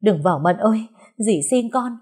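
A woman's voice: medium pitch, lively intonation, moderate pace, darkish tone, voice pushed up, lightly acted. A woman playing a caring older aunt in an audio drama, speaking in a worried, pleading manner.

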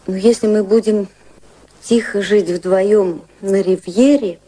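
A proud, romantic young society lady in a radio drama. Her voice is mid-pitched and warmly muted. She talks formally and frankly, in measured phrases. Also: fairly even intonation. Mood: frank, gentle. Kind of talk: radio play acting.

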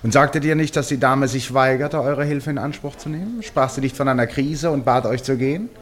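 An actor in a German radio play playing an eccentric, unworried amateur detective who talks in teasing, rhetorical, dismissive turns. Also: male voice, medium pitch, brisk, lightly acted. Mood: exasperated, persuading. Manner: rhetorical, breezily dismissive, exasperated.

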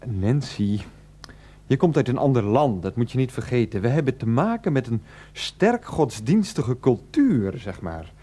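Patronising boyfriend: evasive, halting, placating, varied intonation, radio drama. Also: male voice, mid-range voice, sing-song, mellow. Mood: defensive. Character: evasive, patronising adult boyfriend explaining himself. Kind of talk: Dutch radio play acting.

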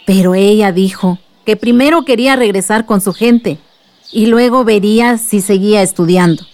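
A woman playing a kindly aunt with a Mexican accent, gently telling memories in a radio drama. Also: mid-range voice, moderate pace, lightly acted. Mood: fond, nostalgic. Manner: gentle, reminiscing. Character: kindly aunt telling her village's past to a child.

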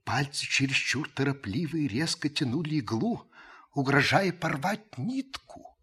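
Husky, measured old French uncle. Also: male voice, medium pitch, expressive, fairly bright tone, exaggerated voice. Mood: watchful, restrained. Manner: measured, literary storytelling. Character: observant, reserved old French uncle, narrator. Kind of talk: radio play narration.

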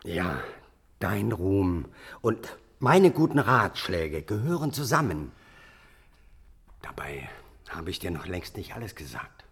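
An actor playing a scheming, hate-consumed illegitimate half-brother of a king in a fantasy radio drama, flattering and insinuating. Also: deep voice, husky, full character voice. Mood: sly flattery. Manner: flattering, insinuating, deliberate emphasis.

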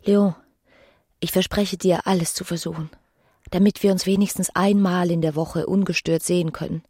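A woman's voice: lowish voice, fairly even intonation, normal speed, mellow, slightly rough, no echo, studio quality. An actress playing a passionate, scheming young married woman in a literary radio drama. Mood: ardent, tender.

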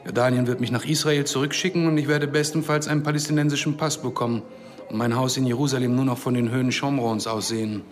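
A man's voice: mid-range voice, steady tone, fast talker, close to an everyday voice. An actor playing a proud, stubborn Palestinian man in a radio drama, with a pointed, bitterly ironic manner. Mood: insistent. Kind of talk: German radio drama dialogue. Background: music.

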